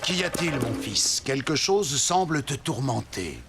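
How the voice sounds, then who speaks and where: A man playing a calm, wise Sioux father who gives measured counsel in a French cartoon dub. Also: medium pitch, slight background noise. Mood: calm, reassuring. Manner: measured, sententious. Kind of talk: French animated series dubbing.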